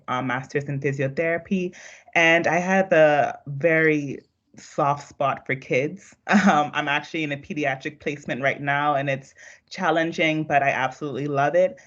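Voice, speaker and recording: A man's voice: a little high, fairly bright tone. A young man giving an informal student self-introduction on a video call. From Nassau, Bahamas. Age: young adult. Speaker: physiotherapy student. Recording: dull video call audio.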